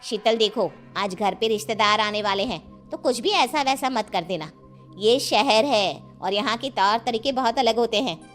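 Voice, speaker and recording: A woman's voice: a little high, animated, normal speed, a bit bright, soft background music. A woman playing a bossy, sarcastic middle-aged city housewife in a cartoon family story, with a nagging, dismissive way of talking. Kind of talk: animated moral-story dialogue.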